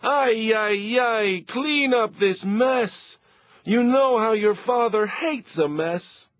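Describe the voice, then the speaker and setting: A man voicing a frazzled mother of several boys, shrill and fed up, crying out over the mess. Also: takes it slowly, no echo. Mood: frantic. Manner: exasperated, cries out. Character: frazzled shouting Italian-American mother. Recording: dull, dry lo-fi recording.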